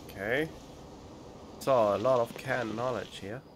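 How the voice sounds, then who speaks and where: A man streams a video game with casual, off-the-cuff commentary. His voice is of average pitch, with faint noise and game ambience behind it. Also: measured pace. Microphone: studio microphone.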